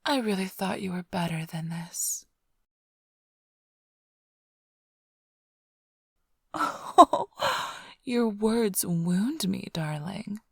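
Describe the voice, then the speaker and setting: A woman playing a flirtatious, smug immortal vampire thief in an ASMR roleplay, teasing the listener in a breathy, half-whispered, languid drawl. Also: mid-range voice, expressive, slow, dry recording, studio quality, heavily acted. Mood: playful, flirtatious. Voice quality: breathy, often sinking into whisper.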